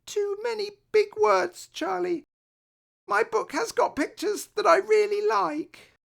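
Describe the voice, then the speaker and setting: A woman voicing an excitable, stubborn, fretful little girl in a children's storybook, with childlike, insistent emphasis. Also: very high voice, slow pace, vocal fry, pitched above the performer's own voice, full character voice. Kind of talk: children's storybook read aloud.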